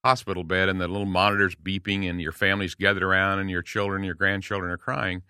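An older man in his late sixties with a low, bright-edged voice speaks earnestly as a podcast guest. He is recorded close and dry, with no room sound. He tells small stories and slips into quoted voices to make his points. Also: flat delivery, fast talker, smooth, dry recording. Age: 60s. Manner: earnest, storytelling, warm encouragement with acted quotes. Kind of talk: casual podcast conversation.

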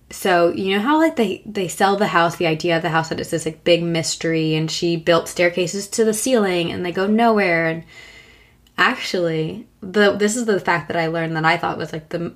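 A woman co-hosting a podcast in casual chat, hoarse from a long cough and talking with many fillers and restarts. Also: lowish voice. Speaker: podcast co-host.

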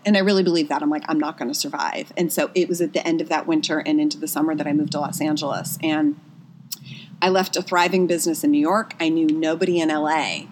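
A woman's voice: low voice, low-quality recording. A woman from Pennsylvania, a guest telling her personal story in an earnest, reflective way. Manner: earnest, reflective, speaks with frequent "I was just like".

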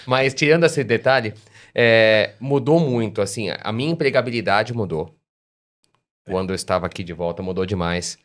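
Podcast interviewer: Brazilian man, lowish voice, casual and chatty, often checks for agreement. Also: moderate pace. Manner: chatty, shares own experience, seeks agreement with tag questions.

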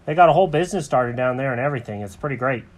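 A man's voice: mid-range voice, lively intonation, racing, natural voice. A man voicing an easygoing, helpful animal pal in a children's story, chummy and rambling. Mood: sympathetic. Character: easygoing, upbeat, helpful male animal buddy.

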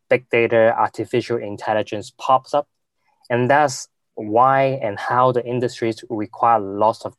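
A young man from Hong Kong, answering interview questions with many pauses, fillers and restarts. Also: fairly low pitch, clean tone, no echo. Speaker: guest, venture capital investor.

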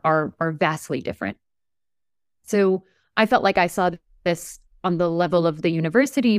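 A woman professor in her forties, raised in the United States, speaking in an articulate, measured way with emphasis in an interview. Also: lowish voice, clean tone, dry recording, studio quality. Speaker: English professor. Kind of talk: reflective interview talk.

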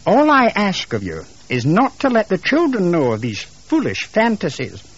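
A man's voice: high-pitched, huge pitch swings, normal speed, husky, dull audio, voice pushed up, exaggerated voice. A man voicing a skeptical, dismissive wife and mother with a condescending, sighing manner in a narrated story. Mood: patronizing disbelief. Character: skeptical, patient, dismissive middle-class English wife and mother.

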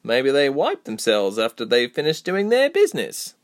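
A man's voice: mid-range voice, wildly swooping pitch, normal speed, voice pushed up. A man voicing a blunt, cheeky young woman traveller in a fantasy audiobook, frank and wry.

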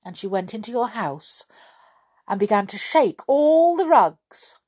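A woman's voice: a little high, very animated, unhurried, a little mellow, breathy edge, voice pushed up, exaggerated voice. A woman voicing a small female fairy in a children's storybook, chattery and indignant.

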